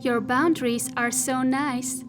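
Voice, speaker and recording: A woman playing a possessive, coaxing spacesuit AI in a science fiction audio drama, speaking deliberately and intimately with careful articulation and a self-satisfied, controlling manner. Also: high voice, steady tone. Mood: seductive. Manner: slow, deliberate, over-articulated, intimate and insinuating. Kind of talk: theatrical science fiction monologue. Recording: music behind the voice.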